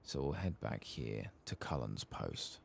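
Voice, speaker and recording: A soft-spoken man with a husky, gravelly voice, murmuring unhurriedly as he talks through a video game in ASMR style. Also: very deep voice, big pitch swings, a little slow, slight background noise.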